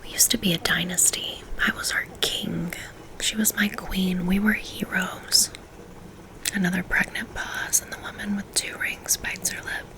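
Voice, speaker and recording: A woman reading a first-person novel aloud in a soft, intimate whisper, in a steady ASMR style. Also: lowish voice, a little slow, rough recording. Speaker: audiobook reader.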